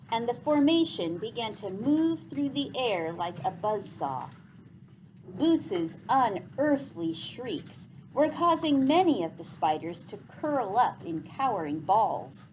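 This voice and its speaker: Female storyteller, high voice, very lively intonation, expressive read-aloud narration over some background noise. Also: low-quality recording. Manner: animated, deliberate read-aloud delivery. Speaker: storyteller reading a novel aloud.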